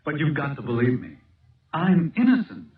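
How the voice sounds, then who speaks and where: A man's voice: on the high side, huge pitch swings, normal speed, roomy sound, far from the mic. Desperate accused man, begging and emphatic, tinny old radio drama. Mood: desperate pleading. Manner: pleading, emphatic. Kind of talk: 1970s radio drama acting.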